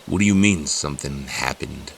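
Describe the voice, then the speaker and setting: An actor playing a big, gruff, bullying fraternity man in a horror audio drama, talking in a confrontational way. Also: a bass voice, normal speed, slight background noise. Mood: angry, demanding.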